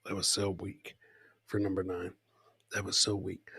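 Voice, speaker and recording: A man's voice: sits low, completely flat delivery, normal speed, vocal fry, sharp tone, slightly rough, dry recording. A middle-aged man hosting a casual livestream, bantering with the chat, repeating his phrases and swearing casually, close on the mic. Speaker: podcast host and comedian. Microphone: close boom-arm microphone with headset.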